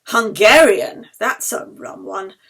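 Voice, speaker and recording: A woman's voice: medium pitch, animated, slow pace, gravelly, a bit bright, husky, heavily acted. A woman reading an audiobook, voicing a blunt, cheeky, nosy London ambulance man. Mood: nosy, suspicious. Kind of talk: audiobook character dialogue.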